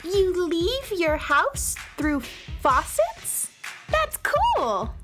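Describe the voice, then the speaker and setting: Very high-pitched curious little girl. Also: huge pitch swings, drawn-out words, exaggerated voice. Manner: inquisitive, polite. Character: curious, friendly, kind little space girl. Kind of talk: children's audio drama acting. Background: loud music.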